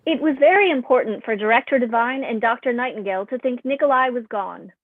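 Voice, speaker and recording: A woman's voice: fairly high pitch, fast talker, no room sound, narrow, tinny audio. A woman playing a formal, precise, literal artificial intelligence assistant in a staged play reading. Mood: explanatory.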